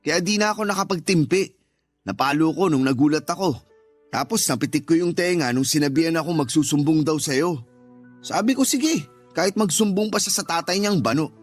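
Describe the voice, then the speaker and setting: Irritable, defensive stepfather played by a man, high-pitched for a male, radio drama with light music bed. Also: animated, a little fast. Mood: defensive, irritated. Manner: dismissive, argumentative, defensive. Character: callous, irritable husband and stepfather, resentful of the stepchild. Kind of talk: Tagalog radio drama acting. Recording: music behind the voice.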